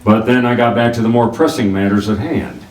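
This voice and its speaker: An actor playing a wry, hardboiled private detective, narrating a noir radio drama in a clipped way. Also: male voice, on the low side, steady tone, fairly quick, a little mellow, soft background music, close to an everyday voice. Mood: wry, brisk. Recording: roomy, distant mic, faint noise.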